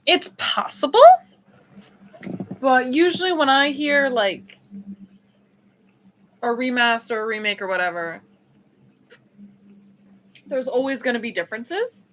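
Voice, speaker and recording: A chatty woman streaming a game, talking casually and teasing a friend. Her voice is crisp and bright over a faint hiss of background noise. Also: high-pitched, unhurried, pauses often, low-quality recording. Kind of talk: casual chat while gaming.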